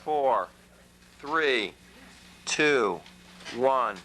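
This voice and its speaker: A woman's voice: a bass voice, expressive, very slow, a bit bright. A woman calling out stage cues and countdowns as an announcer, recorded in a noisy room.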